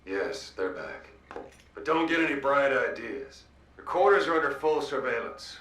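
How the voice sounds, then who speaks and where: An actor playing a stern, mocking military warden who taunts a prisoner in a video game. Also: male voice, mid-range voice, animated, normal speed, a bit bright, natural voice. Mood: stern, taunting. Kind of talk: dramatic game dialogue. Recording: echoey, distant from the mic.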